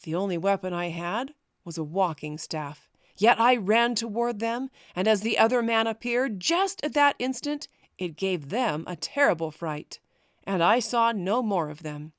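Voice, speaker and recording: A woman narrating a historical memoir as an audiobook, reading in a measured, formal way. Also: medium pitch, no echo, clean studio sound.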